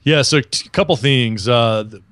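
Smooth-voiced man from Alabama. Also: mid-range voice, normal speed, a bit bright, no echo. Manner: upbeat, talkative, frequent restarts. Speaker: podcast guest. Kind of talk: casual video-call interview. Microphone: earbud headset on a video call.

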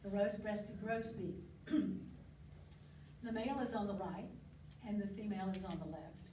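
A woman's voice: medium pitch, normal speed, warm tone. Older woman giving a chatty slide talk in a hall. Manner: chatty, digressive, frequent ums and throat clearing. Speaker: guest speaker on local birds. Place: lecture hall. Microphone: podium mic, recorded by a far camera. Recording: distant echoey hall recording, muffled, noisy.

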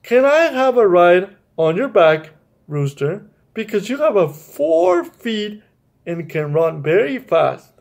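Casting: man reads as earnest small boy from a storybook, childlike, high pitch, very lively intonation. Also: slow pace, creaky, heavily acted. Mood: gentle. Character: earnest little boy who loves his teddy bear.

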